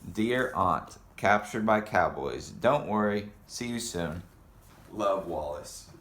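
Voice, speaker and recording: A man reading as an earnest storybook boy cowboy, slow-paced. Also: on the low side, lively intonation, a bit bright. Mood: agreeable, reassuring. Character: young boy turned cowboy, polite and earnest storybook hero. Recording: roomy echo with faint background noise.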